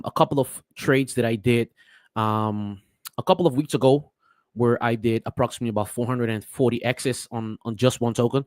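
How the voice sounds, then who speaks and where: A man whose first languages are Papiamento and Spanish, explaining crypto trades in a halting way with many restarts as a vlogger. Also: mid-range voice, dry recording, studio quality. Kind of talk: casual screen-share talk.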